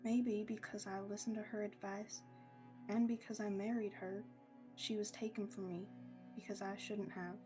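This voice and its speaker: A young woman playing a bitter, grieving widowed blacksmith, halting and downcast, in a student radio play. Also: mid-range voice, level tone, music in the background, close to an everyday voice. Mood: guilty. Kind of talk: student radio-theatre acting.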